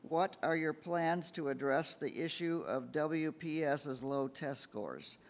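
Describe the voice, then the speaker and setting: A man's voice: on the high side, takes it slowly. A man moderating a candidate forum, reading questions and giving short prompts. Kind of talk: moderating, brief.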